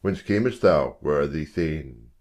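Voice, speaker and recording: Guy acting regal old-time king, really deep and slow, shakespeare audiobook. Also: animated, a little mellow, exaggerated voice. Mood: regal, commanding. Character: stately, commanding king of Scotland. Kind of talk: dramatic audiobook reading of Shakespeare.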